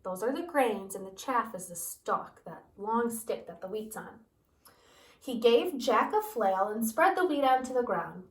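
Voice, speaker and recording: A kindergarten teacher reads a picture book to young children and stops to explain things in simple words. Her voice is a bit high for a woman. The sound is echoey and slightly distant from the mic. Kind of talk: storytime read-aloud for young children.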